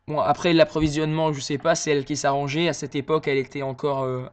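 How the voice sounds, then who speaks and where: A man making a prank phone call, posing as a worried son and talking hesitantly with many pauses and fillers. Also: fairly high pitch, level tone, smooth.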